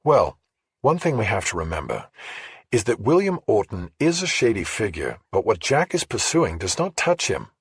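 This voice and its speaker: A man reads as a female investigative journalist in a thriller audiobook. She is measured and matter-of-fact, explaining with care in a very husky, dryly recorded voice. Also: lowish voice, huge pitch swings, no room sound, clean studio sound, heavily acted. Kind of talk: audiobook character dialogue.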